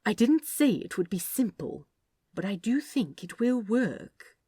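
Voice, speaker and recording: An earnest, fussy male angel from a storybook reading, played by a woman, speaks in a raised, swooping voice. He explains things haltingly and anxiously, in a dry, close recording. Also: mid-range voice, very animated, mellow, husky, no room sound, voice pushed up. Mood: earnest, explaining.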